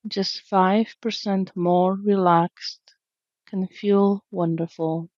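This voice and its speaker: A woman leading a guided meditation in a gentle, soothing, unhurried way with long pauses, in a slightly dull recording. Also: medium pitch, fairly even intonation, dry recording. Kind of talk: guided meditation, slow calm narration.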